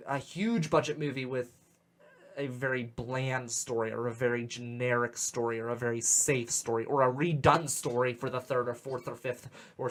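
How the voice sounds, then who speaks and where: A young man with a Western Pennsylvania accent hosting a casual radio talk show, animated and opinionated, often repeating words as he gets going. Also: mid-range voice. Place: radio studio. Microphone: studio microphone.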